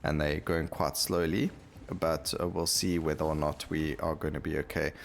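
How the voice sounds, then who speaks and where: A man's voice: a bass voice, little pitch movement, moderate pace, vocal fry, faint music behind. A man hosting a gameplay video, talking casually and rambling as he thinks aloud while he plays. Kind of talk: casual game commentary.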